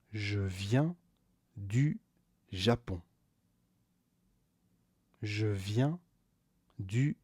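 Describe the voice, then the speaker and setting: A man teaching a scripted beginner grammar lesson, slowly repeating each key word and stressing it with a rise. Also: lowish voice, warm tone, husky, no echo, clean studio sound. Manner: slow, deliberate, repeats key words with stressed rises. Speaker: French teacher.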